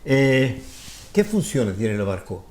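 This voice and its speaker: Male tv host, warm mellow voice, short questions and lots of ujú. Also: medium pitch, big pitch swings, takes it slowly. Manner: asks short questions, brief affirmations. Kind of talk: TV interview.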